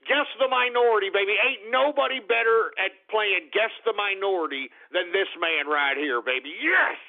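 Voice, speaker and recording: High-voiced ranting male radio host. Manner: ranting, shouting exclamations. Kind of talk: call-in talk radio. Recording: lo-fi narrow-band broadcast with faint music.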